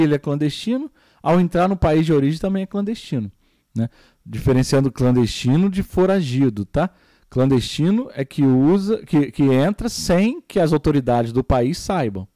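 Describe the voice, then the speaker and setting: A man's voice: on the high side, expressive, normal speed, mellow, no echo. A man with a Brazilian Portuguese accent, teaching a recorded geography lesson and explaining step by step, with many fillers and tag questions.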